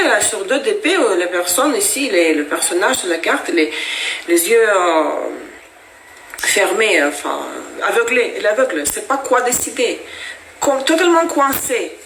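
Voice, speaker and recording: A woman's voice: mid-range voice, fairly bright tone, a little hiss or hum. A woman whose first language is Russian, giving a casual tarot reading to viewers in a chatty, explaining way with frequent hesitations. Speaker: tarot reader. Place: at home with her cat. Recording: echoey room.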